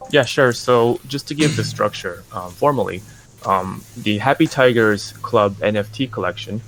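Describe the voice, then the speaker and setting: A man representing a project, talking through his business plans in a casual interview, measured and full of fillers and restarts. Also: lowish voice, a bit bright, a little hiss or hum, low-quality recording.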